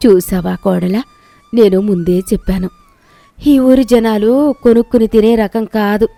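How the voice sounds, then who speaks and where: A woman's voice: mid-range voice, a little slow, creaky, dark tone, no echo. Fretful older village mother-in-law, played by a woman. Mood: worried, complaining. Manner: fretful, questioning, complaining.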